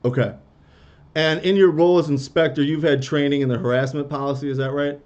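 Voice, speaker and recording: Male attorney, halting questions, frequent restarts, video call, muffled dull audio. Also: fairly high pitch, steady tone, a little mellow.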